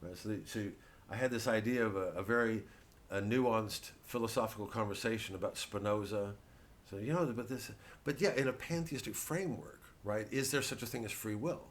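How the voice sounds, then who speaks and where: A male comedian delivering a rambling, halting, sweary satirical monologue full of digressions. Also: fairly low pitch. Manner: acts out mock quotes and voices.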